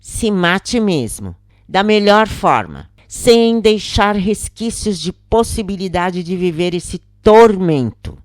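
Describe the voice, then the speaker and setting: A woman's voice: medium pitch, very animated, slow, no echo, clean studio sound, pitched above the performer's own voice. Woman voicing a harsh, accusing demon of despair. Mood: scornful, accusing. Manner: scornful, insistent, condemning. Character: harsh accusing dark inner voice urging despair.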